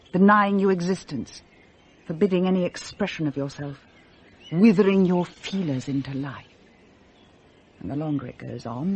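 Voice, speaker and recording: A middle-aged upper-class English wife, bored and rebellious. Her delivery is articulate and dramatic, swinging widely in pitch as she mocks herself. It is radio drama with faint noise behind it. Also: a little mellow. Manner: articulate, dramatic, self-mocking. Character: bored upper-class married mother, breathless, rebellious, theatrical. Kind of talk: British radio play acting.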